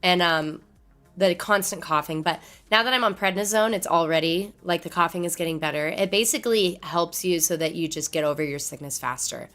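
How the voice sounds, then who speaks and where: A woman with a hoarse, strained voice sitting a little low in pitch. She talks casually to her stream viewers and rambles on while she replies to their comments. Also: fairly quick, a bit bright, soft background music. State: hoarse from laryngitis. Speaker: musician livestreamer.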